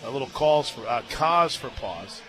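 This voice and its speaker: A man doing live radio play-by-play commentary of a softball game as the announcer. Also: mid-range voice, expressive, moderate pace. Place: outdoor softball stadium radio booth. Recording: stadium crowd noise and music behind. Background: crowd and music.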